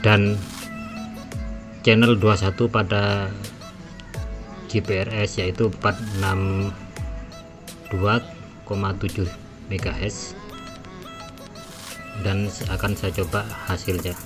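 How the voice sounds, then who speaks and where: A man giving a measured, step-by-step tutorial voice-over as a gadget reviewer. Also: on the low side, little pitch movement. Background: background music.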